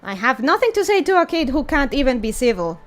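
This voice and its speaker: A woman's voice: sits high, very animated, a little fast. A woman reading a game story aloud as a grown-up male sage who is wry, mean and teasing. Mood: taunting. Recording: music behind the voice.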